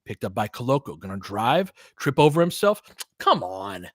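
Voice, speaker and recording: A man's voice: lowish voice, very animated, normal speed, a bit bright, no room sound, studio quality. A man doing casual live basketball play-by-play in clipped phrases, with conversational analytical asides. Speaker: play-by-play commentator and host.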